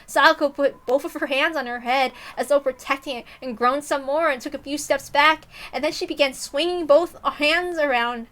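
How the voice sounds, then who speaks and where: A woman narrates a visual novel in a dramatic scripted style. Her voice sits very high and has a bright, sharp tone. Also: normal speed, hardly any pauses.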